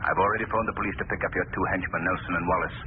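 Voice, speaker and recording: Man voicing creepy commanding avenger, really deep, old radio show, tinny narrow sound. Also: fairly even intonation, very fast, a little hiss or hum, close to an everyday voice. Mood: menacing, accusing. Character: sinister, commanding, invisible male crime-fighting avenger. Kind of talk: vintage radio drama acting. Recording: thin, band-limited vintage radio recording.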